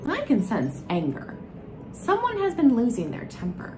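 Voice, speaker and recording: A woman's voice: on the high side, wildly swooping pitch, normal speed, over music. A woman voicing an oblivious, earnest male cucumber detective in a children's storybook, matter-of-fact and innocently puzzled. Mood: suspicious.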